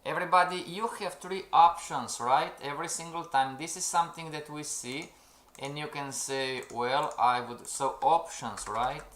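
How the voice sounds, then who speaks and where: A man's voice: a little high, fairly even intonation, moderate pace, a bit bright. Man teaching a live trading class. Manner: keeps saying "everybody" and "okay?", reads out the chat. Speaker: trading instructor. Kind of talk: live-streamed lesson. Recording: roomy echo.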